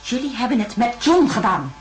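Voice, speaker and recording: Anguished female, accusing, emotional, fairly high pitch, radio play. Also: sing-song, fairly quick, faint music behind. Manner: emotional, reproachful. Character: distraught, accusing woman, stubborn and anguished. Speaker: voice actor. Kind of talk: radio drama acting.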